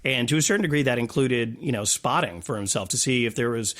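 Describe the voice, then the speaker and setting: A man's voice: medium pitch, fast, fairly bright tone. A man talking as an interview guest, telling stories casually with a playful, jokey manner. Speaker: guest, stadium PA announcer. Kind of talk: casual storytelling interview talk.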